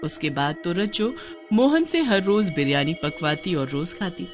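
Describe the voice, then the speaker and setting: A woman's voice: fairly low pitch, lively intonation, moderate pace, music in the background. A woman narrating a scripted cartoon story.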